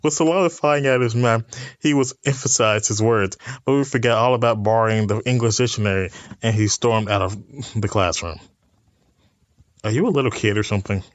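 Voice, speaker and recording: A man doing a casual let's play, reading visual novel text aloud haltingly and adding amused asides. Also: on the low side, no echo. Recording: music playing behind the voice. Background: music.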